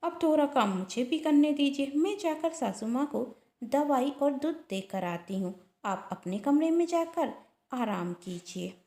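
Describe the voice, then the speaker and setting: A woman's voice: high-pitched, animated, a little slow, dark tone. A woman storyteller playing a sly elder sister-in-law who sounds falsely caring and self-righteous. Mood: sweetly insistent. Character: sly, sweet-talking elder sister-in-law in an Indian household. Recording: roomy echo.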